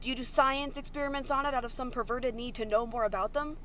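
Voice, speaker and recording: A woman's voice: high voice, fast, a bit bright, slight background noise, pitched above the performer's own voice. A woman voicing a sarcastic, indignant young male apocalypse survivor in a story reading, accusatory and emphatic. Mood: accusing, indignant.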